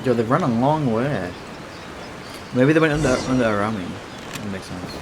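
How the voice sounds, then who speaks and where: A man's voice: mid-range voice, animated, normal speed, noisy background. A man giving casual, slangy gaming commentary, muttering offhand to himself as he plays. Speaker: gameplay streamer. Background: game music.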